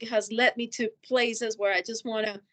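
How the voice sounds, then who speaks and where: A woman's voice: a little high, steady tone, normal speed, a bit bright. A woman from Colombia, a native Spanish speaker with a Colombian accent, telling a personal story unscripted as a panelist. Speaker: panelist, finance executive.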